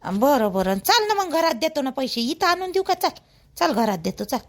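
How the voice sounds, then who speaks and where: A woman's voice: sits high, sing-song, moderate pace. A woman voicing a chatty, nosy but helpful older village housewife in a cartoon, speaking colloquial Vidarbha Marathi full of exclamations. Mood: helpful, coaxing. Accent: Varhadi Marathi, Vidarbha. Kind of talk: rural family cartoon dialogue.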